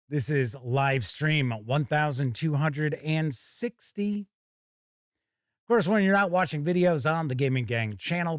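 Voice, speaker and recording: Folksy male live-stream host, higher-pitched voice. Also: dry recording, clean studio sound. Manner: folksy, hearty showman patter. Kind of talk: casual live stream chat.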